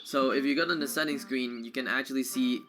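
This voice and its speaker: A man giving casual, excitable live commentary on a game he is speedrunning, prone to exclamations. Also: medium pitch, fast talker, soft background music.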